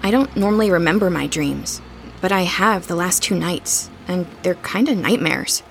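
A woman's voice: fairly low pitch, normal speed, vocal fry, slight background noise, poor audio. An actress playing a caring, friendly young woman with a casual manner, in a naturalistic audio drama. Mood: concerned. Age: young adult. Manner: casual, considerate.

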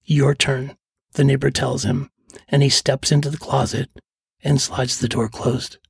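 Husky, gravelly middle-aged male storyteller. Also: medium pitch, steady tone, moderate pace. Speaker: podcast host and storyteller. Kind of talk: scripted first-person narration. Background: faint music.

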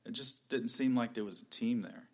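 A man in his thirties, an army veteran guest, answering in a hesitant, soft-spoken but direct way with many pauses. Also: mid-range voice. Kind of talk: interview answers.

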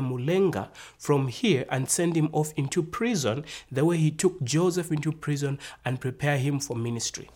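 A man's voice: a little high, darkish tone. A man from Zambia with a Zambian accent, narrating a prepared personal testimony in a formal, orderly way. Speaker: university graduate and minister giving testimony.

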